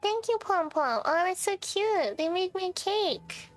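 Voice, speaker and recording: A woman's voice: very high voice, expressive, measured pace, faint music behind. A woman livestreaming a video game with casual, playful chat, often musing aloud to her viewers.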